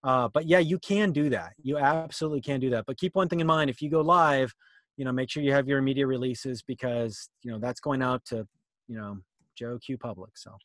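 A man's voice: medium pitch, brisk, a bit bright, no echo. A middle-aged American man answering questions in a conversational, explanatory way on a video call. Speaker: workshop presenter answering questions. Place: indoor room, on a video call.

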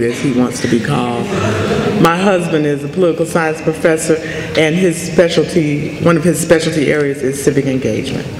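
A middle-aged woman speaking as a college president to an audience, with emphatic, deliberate delivery. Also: deep voice. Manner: emphatic, deliberate oratory with repeated phrases. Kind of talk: inspirational address and audience Q&A. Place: large chapel, indoors. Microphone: podium microphone. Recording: echoey hall, faint noise and crowd.

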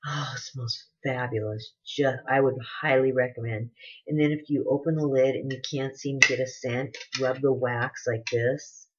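A woman's voice: very deep voice, steady tone, normal speed, breathy edge. A woman in her forties chatting casually in her living room, gushing over products and rambling between them. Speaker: beauty vlogger. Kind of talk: casual product haul chat. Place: living room at home, indoors. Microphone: webcam at desk distance. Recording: dull lo-fi webcam audio with noise.

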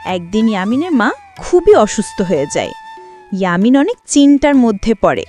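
Animated woman telling a fairy tale. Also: a little high, normal speed, faint music behind. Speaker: storyteller. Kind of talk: scripted children's fairy tale narration.

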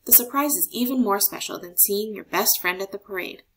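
Woman reading as a patient storybook mother, slightly creaky. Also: mid-range voice, brisk, a bit bright, a little hiss or hum, lightly acted. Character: patient mother gently answering her young son. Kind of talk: children's storybook read-aloud.

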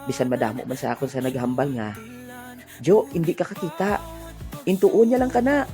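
A woman's voice: extremely low pitch, moderate pace, over music. A woman playing a cheerful village sweetheart in a comic audio drama, with a Hiligaynon accent. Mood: playful, affectionate.

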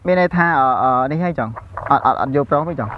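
A man's voice: medium pitch, quick pace, dull audio. A young man chatting casually and jokily, as host of a prank-call video, outdoors in a yard. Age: young adult. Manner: jokey, laughs often. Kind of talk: casual chat on a phone call and to camera. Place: outdoors in a grassy rural yard. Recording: steady outdoor background noise.

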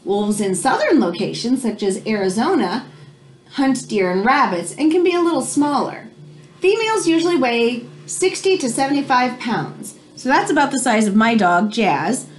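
Higher-pitched American woman reading to children. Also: lively intonation, moderate pace, a little hiss or hum. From United States. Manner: explanatory, teacherly asides. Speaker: read-aloud host for children. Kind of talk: reading a children's nonfiction book aloud with simple explanations. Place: small room at home, indoors.

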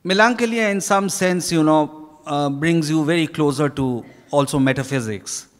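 Man, middle-aged, Indian accent, slightly high voice, deliberate phrasing, author interview, echoing ballroom. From Kolkata, India. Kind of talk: reflective on-stage interview answers. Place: large hotel ballroom stage. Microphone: handheld microphone. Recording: echoey hall PA with long reverb.

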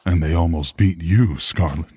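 A man playing a gruff pack member in an audio roleplay, teasing in a laid-back way. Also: low voice, normal speed, mellow.

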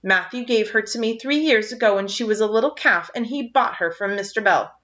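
A woman's voice: mid-range voice, a little fast, fairly bright tone, natural voice. A woman voicing a dignified, earnest young girl who speaks firmly, heard on a slightly dull lo-fi recording. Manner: dignified, firm.

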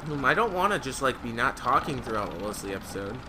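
A man's voice: medium pitch, big pitch swings, fast, noisy background. A young man giving casual let's play commentary as host of a gaming video. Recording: loud game music behind. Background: video game music.

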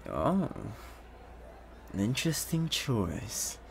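A man playing a rich, possessive, doting boyfriend in a soft-spoken, coaxing ASMR roleplay. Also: medium pitch, sing-song, creaky, a little hiss or hum, exaggerated voice. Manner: soft-spoken, unhurried, coaxing with pet names. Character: rich possessive young boyfriend, smooth, doting, quietly menacing.

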